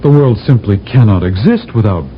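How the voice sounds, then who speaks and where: Actor playing a deep-voiced space captain, old radio. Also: male voice, animated, normal speed, a little hiss or hum, natural voice. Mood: alarmed disbelief. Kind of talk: radio drama acting. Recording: muffled vintage radio recording, music behind.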